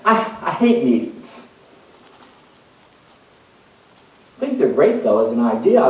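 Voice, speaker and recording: Guy doing an eccentric scattered idealist character, rambling stage monologue, really echoey and far from the mic. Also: a little high. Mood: flustered, dithering. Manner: animated, emphatic, rambling.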